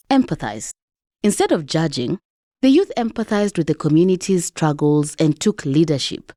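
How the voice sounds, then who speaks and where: Low-pitched woman host with very animated delivery. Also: no echo, studio quality. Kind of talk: scripted documentary narration. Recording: music under narration. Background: background music.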